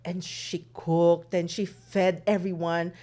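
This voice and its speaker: A woman from Manila with a Filipino accent, a hotel manager being interviewed, telling stories in an animated way and often ending her points with "no?". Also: fairly low pitch, slow pace. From Manila, Philippines. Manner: animated storytelling, frequent tag "no?". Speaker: hotel general manager, guest. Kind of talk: conversational interview.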